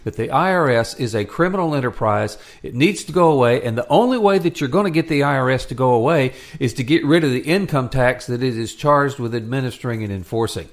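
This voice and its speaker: Man, American accent, talk radio host, opinionated, emphatic, conversational, medium pitch. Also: lively intonation, fast, hardly any pauses.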